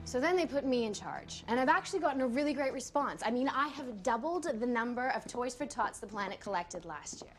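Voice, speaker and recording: A woman's voice: on the high side, lively intonation, fairly quick, hardly any pauses, lightly acted. An actress playing a perky young newspaper woman who chats and coaxes a friend into a favor. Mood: coaxing. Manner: chatty, coaxing. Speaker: newspaper staffer. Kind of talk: TV drama acting. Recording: drama audio with music score.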